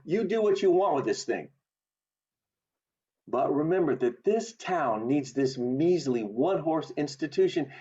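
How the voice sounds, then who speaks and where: An actor playing an earnest, idealistic young man in an amateur radio play, impassioned and halting, over a video call. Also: high voice, a little mellow, breathy edge, heavily acted. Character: earnest idealistic young man defending his late father. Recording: muffled online video call audio.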